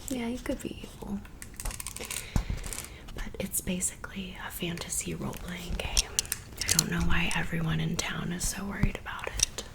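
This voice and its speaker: A woman playing a friendly teenage girl in an ASMR roleplay, whispering softly in a chatty, welcoming way. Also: on the low side, moderate pace, vocal fry, poor audio. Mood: explaining.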